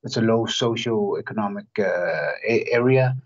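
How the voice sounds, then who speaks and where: Male guest from Denmark, low voice, hesitant and thoughtful delivery, lo-fi audio. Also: animated, measured pace. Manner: thoughtful, hesitant, many restarts. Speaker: guest, human rights campaigner. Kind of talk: interview answers.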